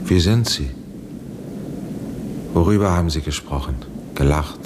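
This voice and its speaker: A grave professor in charge of a secret experiment, with a low-pitched voice. He speaks formally and hesitantly, probing uneasily, in 1970s radio drama style. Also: a little slow. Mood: anxious, probing. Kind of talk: German radio play acting. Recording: 1970s radio drama with clear background noise.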